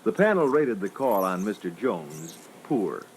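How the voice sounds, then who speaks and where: A man's voice: lowish voice, very animated, a little slow. A man moderating a sales training record with scripted instructional narration. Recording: faintly noisy old reel-to-reel training record.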